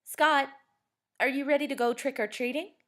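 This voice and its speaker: A woman's voice: steady tone, moderate pace. Woman doing a shrieking dramatic teen sister. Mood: exasperated. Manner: dramatic, exasperated, high and loud. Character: bossy teenage sister in a fairy costume.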